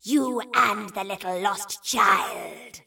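A harsh, sharp-edged creature of doom, acted out by a woman for an audio drama. It drags its words out slowly with a gravelly menace, sounding set back from the mic. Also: mid-range voice, distant mic, exaggerated voice. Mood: menacing. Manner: slow, drawn-out menacing screech. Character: terrible screaming creature foretelling doom.

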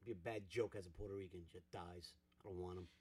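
A man's voice: low-pitched, steady tone, fast talker, a little mellow, breathy edge. A man co-hosting a casual podcast, laid-back and boastful as he tells crude stories. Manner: laid-back, boastful storytelling, breaks into laughter. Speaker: podcast co-host.

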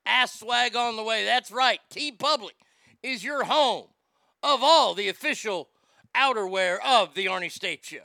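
A man's voice: very high-pitched, unhurried, creaky, pushed and bright, no echo. A man in his fifties hosting a morning radio show with loose, crude, joking banter. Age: 50s.

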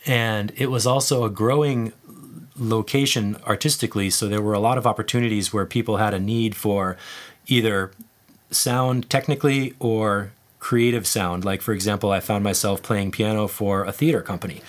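Male guest, low voice, loose and chatty, lots of "like" and "you know". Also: normal speed. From United States. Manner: relaxed, rambling with fillers. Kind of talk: conversational interview.

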